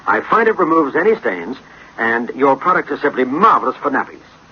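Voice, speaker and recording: A man with a rough, husky voice delivering courteous, formal 1960s radio advertising. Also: mid-range voice, big pitch swings, normal speed. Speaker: sponsor presenter. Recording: dull vintage radio recording.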